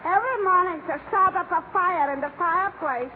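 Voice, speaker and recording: A woman's voice: very high voice, fairly quick. A woman playing a woeful, complaining housewife in 1940s radio comedy, with a Yiddish-inflected New York accent and mangled grammar. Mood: woeful, indignant. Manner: plaintive, exaggerated complaining in twisted syntax. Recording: noisy, tinny 1940s radio broadcast.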